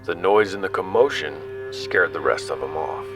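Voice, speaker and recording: Sci-fi audio drama narrator: male actor as self-deprecating explorer, very low register, conversational storytelling over soft music. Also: moderate pace, creaky. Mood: animated recounting. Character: young male explorer recounting his expedition, awed and casual. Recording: music under the voice.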